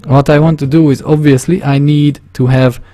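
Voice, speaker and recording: A man with a Hungarian accent talks through a drawing tutorial at a desk microphone. His voice is mellow and gravelly. He often pauses to search for words and corrects himself as he goes. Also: fairly high pitch, steady tone. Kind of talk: casual screen-recorded tutorial narration.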